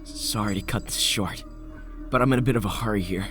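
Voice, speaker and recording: A man's voice: on the low side, brisk, lightly acted. A man playing an earnest, protective teenage schoolboy in a video game dub, wary and hesitant. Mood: hurried, worried. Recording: background music under the voice.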